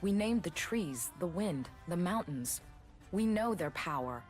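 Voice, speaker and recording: A young warrior heroine from a video game. She is dry, sarcastic and darkly funny, fiercely devoted underneath. Her fairly low voice swings widely in pitch, with faint music behind it. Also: a little slow. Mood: darkly wry. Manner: dry, sarcastic, deadpan delivery.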